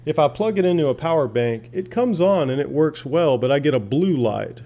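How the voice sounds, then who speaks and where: Casting: male hobbyist, warm soft-edged voice, unscripted, folksy, plain-spoken, happy to ramble while demonstrating equipment. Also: fairly high pitch, animated, normal speed. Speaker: shortwave radio hobbyist asking viewers for advice. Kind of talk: casual unscripted demonstration and chat.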